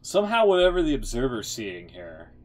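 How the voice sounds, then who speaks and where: A man's voice: mid-range voice, wildly swooping pitch, a little fast, a little mellow, soft background music. A man streaming a game, reading text aloud and commenting in a casual, musing, offhand way with some swearing.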